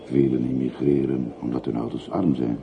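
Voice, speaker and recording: Very deep-voiced older man, muffled. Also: level tone, normal speed, creaky. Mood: inquisitive. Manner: polite, probing, hesitant questioning. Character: older working man, bereaved father, curious and courteous. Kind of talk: radio drama acting. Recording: muffled, noisy old radio play recording.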